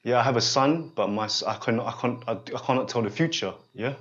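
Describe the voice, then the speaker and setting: A man in his forties talks a student through a guidance session at a middle pitch, in halting, repetitive sentences. He keeps stopping to check that the listener follows. The recording sounds dull and lo-fi. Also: fairly bright tone. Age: 40s. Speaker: holistic therapist guiding a student. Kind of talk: unscripted one-on-one guidance session.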